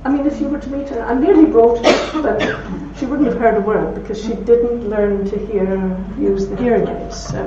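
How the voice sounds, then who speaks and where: A woman with a broad Belfast accent speaking to an audience, telling anecdotes with warmth and laughter. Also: a little high, steady tone, moderate pace, few pauses, heavy background noise. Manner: warm anecdotal storytelling with laughs. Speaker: speaker at a talk. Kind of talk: talk with audience questions. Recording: roomy hall from far off, dull.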